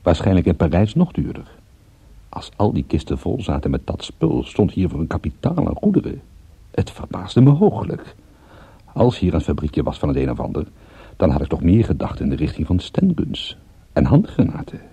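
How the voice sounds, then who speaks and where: Low, husky hard-boiled detective. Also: male voice, wildly swooping pitch, moderate pace, mellow, no echo, full character voice. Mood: dry narration. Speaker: lead character and narrator. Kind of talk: radio drama narration and dialogue.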